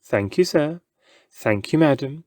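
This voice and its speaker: A theatrical male showman, acted by a man reading a storybook, goads and coaxes his crowd. His pitch is lifted and his delivery is showy and insistent, in a dry, close sound. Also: animated, a little slow, a little mellow, dry recording. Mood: coaxing.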